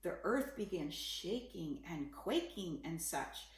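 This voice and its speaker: Slow, echoey woman reading a children's story. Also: lowish voice, sing-song. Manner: simple, dramatic storytelling aimed at a young child. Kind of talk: expressive read-aloud of a rhyming children's Bible story.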